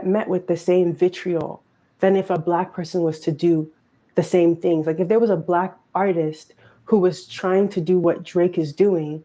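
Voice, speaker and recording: A woman with a lowish, mellow voice delivers pointed social commentary. She talks earnestly and with emphasis, often rushing ahead in quick bursts. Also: low-quality recording.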